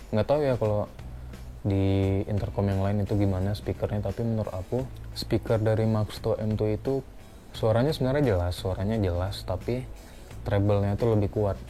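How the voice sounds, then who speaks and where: A young man talks casually through a product review in a deep, very mellow voice. His delivery is flat and conversational, as if unscripted.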